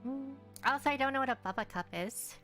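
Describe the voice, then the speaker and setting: Female game streamer, casual and hesitant, bright higher voice, faint music behind. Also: fairly quick. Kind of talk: casual live stream chat.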